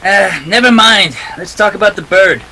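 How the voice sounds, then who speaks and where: A man's voice: high-pitched, normal speed, bright, forceful tone, a little hiss or hum, rough recording. A man playing a tough, wisecracking private detective in a 1940s-style radio drama, clipped, sardonic and impatient. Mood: cocky. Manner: clipped, hard-boiled, sardonic.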